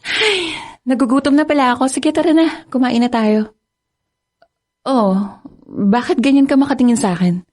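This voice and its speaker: A woman's voice: sits high, normal speed, pauses often, darkish tone. A young woman acting a cold, evasive girlfriend in a radio drama, speaking in a curt, dismissive way. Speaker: girlfriend character.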